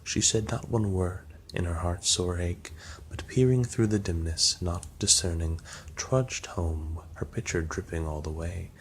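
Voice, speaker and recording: A man reading a long poem aloud as an ASMR narrator, softly and slowly, sometimes dropping to a whisper. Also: sits low, a little hiss or hum. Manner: soft, slow, dips into whispers.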